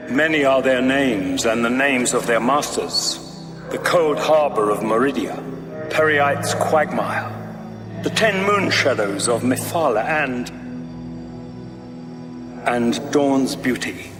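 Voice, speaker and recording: An actor playing a grandiose, zealous cult leader preaching dark prophecy in a pompous, measured, oratorical way for a fantasy game. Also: male voice, medium pitch. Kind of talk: theatrical fantasy game monologue. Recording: echoey hall reverb, music behind.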